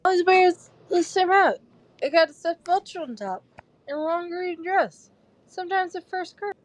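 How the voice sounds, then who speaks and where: A woman's voice: very high-pitched, normal speed. A woman playing a timid young schoolboy wizard in an amateur audio drama, hesitant and stammering. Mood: nervous.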